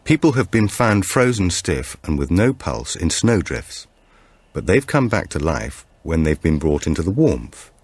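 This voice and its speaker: Man reading as a practical young woman, low-pitched. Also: sing-song, unhurried, darkish tone, husky, dry recording, exaggerated voice. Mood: matter-of-fact.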